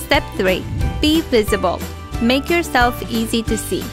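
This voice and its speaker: Female narrator, higher register, scripted instructional read with music underneath. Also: expressive, a little slow.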